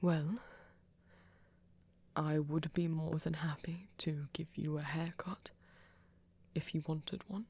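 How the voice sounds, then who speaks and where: A woman playing an elegant, flirty vampire in an intimate ASMR romance, speaking softly and deliberately with a breathy, husky voice. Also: low voice, fairly even intonation, full character voice. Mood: earnest, restrained. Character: flirty, elegant, patient female vampire in love.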